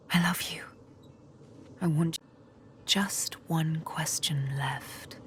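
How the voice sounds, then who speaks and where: An actress voicing a seductive, menacing elven assassin woman in a fantasy game, intimate and teasing, with breathy, deliberate delivery. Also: low voice. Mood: intimate whispering. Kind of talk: dramatic fantasy game voice acting.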